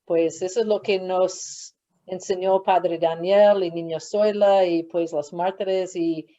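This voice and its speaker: A woman with a lowish, very mellow voice speaks casually in conversation. The video-call sound is slightly muffled. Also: fairly even intonation, measured pace.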